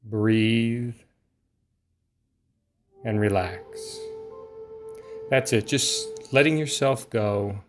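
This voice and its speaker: A man with a fairly low voice leads a guided meditation at a very slow pace. His delivery is soothing and lulling, with long pauses between short phrases. Soft music plays faintly behind him. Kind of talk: guided relaxation meditation, scripted.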